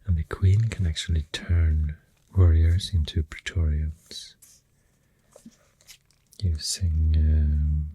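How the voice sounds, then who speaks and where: An extremely low, mellow voice with a Swedish accent speaks slowly in soft ASMR style. It slips mostly into a breathy whisper, halting and soothing, close to the microphone. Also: flat delivery, slight background noise. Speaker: ASMR artist drawing while talking. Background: pencil writing and drawing sounds.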